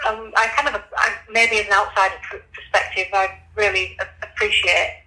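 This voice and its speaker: A man's voice: high-pitched, little pitch movement, normal speed, bright, forceful tone, poor audio. A man from Leeds with a Leeds accent, a tofu business owner chatting casually in an interview, repeating words and restarting often. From Leeds, England.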